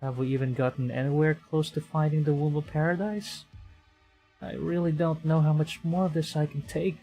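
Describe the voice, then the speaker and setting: A man livestreaming a visual novel, reading it aloud with excitable, dramatic delivery and chatty casual asides. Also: fairly high pitch, moderate pace, vocal fry. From Philippines. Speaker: streamer. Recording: music behind the voice. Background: game music.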